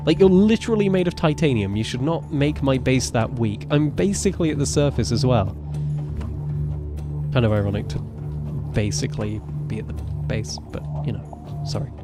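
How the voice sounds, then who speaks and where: A man in his twenties with a naturally deep voice, rambling casually as he streams a game live. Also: lively intonation, moderate pace, over loud music. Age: 20s. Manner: chatty, rambling, self-correcting. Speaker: gaming streamer. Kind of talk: casual live gameplay commentary.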